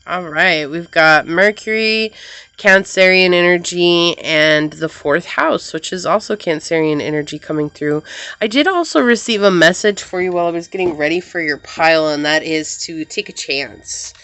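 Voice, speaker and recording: A woman giving a pick-a-card tarot reading to her viewers, gentle and reassuring and full of affirmations, sounding slightly congested as she gets over a cold. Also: on the low side, no room sound.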